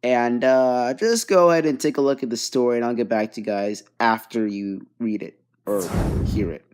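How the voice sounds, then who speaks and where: A man's voice: medium pitch, moderate pace, darkish tone, smooth. Rambling man commenting on news. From Bangladesh. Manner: rambling, loose, lots of fillers and restarts. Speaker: host.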